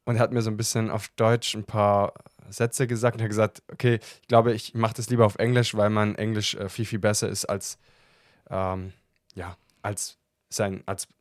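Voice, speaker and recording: A man's voice: on the low side, little pitch movement, moderate pace, no echo, clean studio sound. A talkative man hosting a casual podcast chat, often breaking into laughter while answering questions. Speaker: podcast host.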